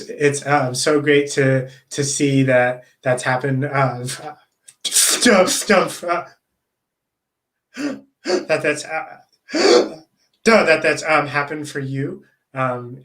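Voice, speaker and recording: A man who stutters, interviewing a guest in a slow, supportive way with many fillers and repeated words. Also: mid-range voice, a bit bright. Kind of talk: casual interview.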